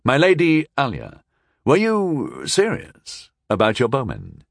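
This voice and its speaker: Man acting a courteous fantasy lord, audiobook, quiet, calm, advising, dry close sound. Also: medium pitch, slow pace, gravelly, dry recording, voice pushed up, heavily acted. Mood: quiet counsel. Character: calm, courteous noble lord, discreet counsellor.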